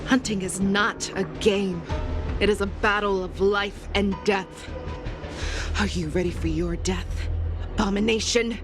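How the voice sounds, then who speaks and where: A woman's voice: medium pitch, steady tone, measured pace, lightly acted. A woman voicing a stern, merciless hunter warrior in a video game. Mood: cold challenge. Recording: music under the voice.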